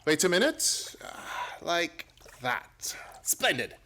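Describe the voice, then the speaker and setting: An actor playing a brisk, self-assured gentleman detective in an old-time radio play, theatrical and precise in his formal explanations. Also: on the high side, wildly swooping pitch, exaggerated voice. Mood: brisk, commanding.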